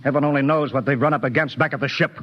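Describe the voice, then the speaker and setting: A man's voice: medium pitch, steady tone, very fast, close to an everyday voice. Stern radio-drama captain, muffled old recording, acted by a man. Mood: angry, alarmed. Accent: American. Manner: commanding, clipped orders. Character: stern, skeptical male spaceship captain commanding a Mars expedition. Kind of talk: 1950s radio drama acting. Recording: muffled, faintly noisy 1950s radio recording.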